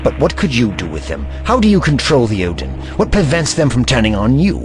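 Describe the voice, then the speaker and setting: Man doing an earnest eccentric time traveller, very lively pitch, indignant, music bed. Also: a little fast. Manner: expressive, indignant, gentle with a child. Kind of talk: fan audio drama.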